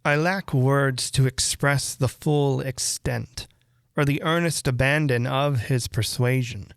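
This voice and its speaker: A man reading a classic horror story aloud as literary narration, with measured, deliberate phrasing and dramatic pauses. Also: mid-range voice, vocal fry, dry recording, studio quality. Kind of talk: literary audiobook-style narration.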